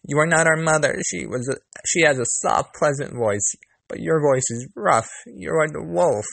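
A man's voice: a little high, expressive, moderate pace, vocal fry, fairly bright tone, no echo, pitched above the performer's own voice, heavily acted. A man voicing defiant little goat kids from a storybook, crying out in protest.

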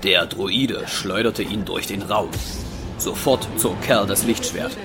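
A man's voice: fairly low pitch, slow. A man narrating an audio drama as a scripted storyteller. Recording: music behind the voice.

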